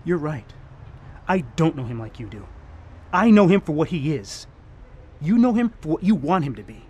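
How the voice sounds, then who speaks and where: An actor playing a loyal, protective nightclub boss in a noir radio drama, plain-spoken and quietly affectionate. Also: male voice, on the high side, very animated, normal speed, husky. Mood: urgent warning.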